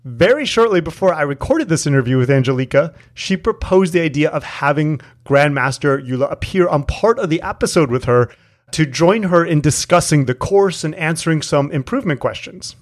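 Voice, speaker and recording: A man's voice: a little high, animated, brisk. A man speaking as the host of an interview podcast. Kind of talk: interview and scripted introduction.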